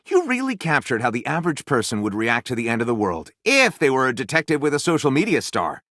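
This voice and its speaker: Flamboyant storyteller, wildly animated delivery. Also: male voice, mid-range voice, fast talker, no room sound, clean studio sound. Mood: gushing, delighted. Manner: grandiose narration switching to gushing excitement. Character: flamboyant, theatrical storyteller co-writing lurid pulp novels. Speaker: video game voice actor. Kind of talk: animated dramatic story narration for a game.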